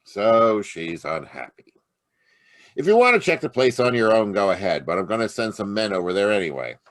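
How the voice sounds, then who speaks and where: A man's voice: on the low side, big pitch swings, moderate pace, dry recording, studio quality. A man playing a short-tempered police lieutenant in an old-time radio detective drama, grumbling and blustering. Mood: exasperated. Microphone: video call.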